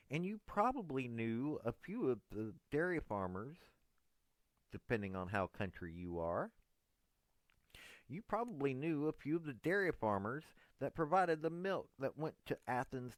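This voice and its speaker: A man's voice: mid-range voice, no room sound. East tennessee guy, 50s, folksy rambling monologue, close dry mic. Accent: Southern US, East Tennessee. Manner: folksy, meandering, earnest. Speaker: political commentator. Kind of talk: direct-address monologue to a politician.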